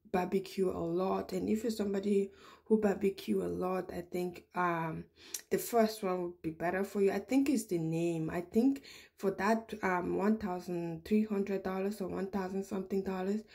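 A woman's voice: medium pitch, vocal fry, dark tone. A woman vlogger chatting casually as she walks through a large store, with frequent ums and restarts. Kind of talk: casual shop-with-me narration. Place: large home improvement store, indoors.

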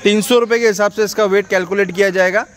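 An Indian-accented man with a slightly raised pitch hosts a vlog in an open yard. He talks casually, asks questions and slips English phrases into his speech. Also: fairly even intonation, fast. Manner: code-switches into English phrases. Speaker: vlogger and interviewer. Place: outdoors in an open dirt farm yard.

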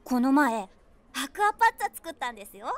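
A woman's voice: high-pitched, sing-song, fast talker. An actress playing an eager, cutesy young woman on a date in a comedy audio drama, speaking politely.

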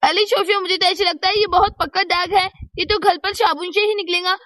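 A chatty, eager small child from a school story, played by a woman. The voice is extremely high and bright, urging and exclamatory, in a dry recording with no room sound. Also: steady tone, fairly quick, no room sound. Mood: nervous urging.

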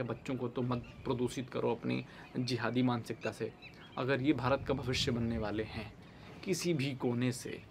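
A young man talks to camera as a commentary host, his voice medium in pitch with plenty of gravelly fry. His delivery is emphatic and halting. He is recorded outdoors, with faint ambience and birds in the background. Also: a little hiss or hum. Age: young adult. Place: outdoors, overlooking a city.

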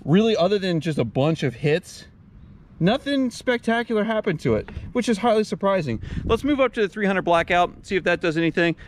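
A man in his thirties with a slightly high voice talks to camera outdoors. He sounds laid-back and wry, chatting informally like the host of a hobby vlog. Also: expressive, fairly quick, a little hiss or hum. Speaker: host of a shooting channel. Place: outdoors at a wooded backyard shooting range.

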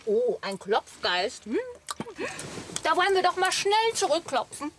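An actress playing a playful, teasing camper wife who loves a party, in a German comedy audio play. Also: female voice, high-pitched, big pitch swings, a little slow. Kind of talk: German radio comedy acting. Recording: clear background noise.

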